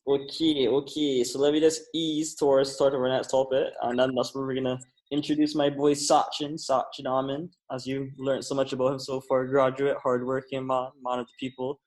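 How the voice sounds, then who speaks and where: A man's voice: mid-range voice, darkish tone, poor audio. A young man hosting a podcast in a loose, joking way. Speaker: podcast host. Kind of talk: casual podcast chat.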